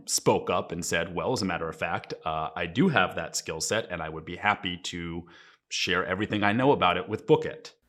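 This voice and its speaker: A man's voice: low-pitched, a bit bright. A man giving an explanatory interview as an audio production staffer, with formal, precise wording.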